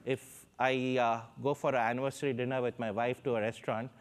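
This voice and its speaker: A man's voice: medium pitch, flat delivery, a little fast, clean tone. A man with an Indian accent, speaking as a panelist on a stage through a lapel mic, hesitant with many fillers. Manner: hesitant, many fillers, gestures while talking. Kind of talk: panel discussion. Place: stage in front of a backdrop.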